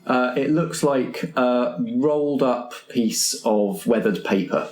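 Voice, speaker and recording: A man improvising narration as game master of a tabletop roleplaying game, with halting phrasing. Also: mid-range voice, lively intonation, darkish tone. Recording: roomy, echoey tabletop recording.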